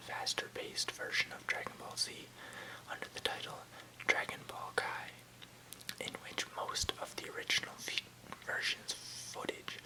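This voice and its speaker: A soft, fully whispered voice from an ASMR host. The delivery is unhurried and hushed, moving between casual remarks and careful reading of written text, with no voiced speech. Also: poor audio.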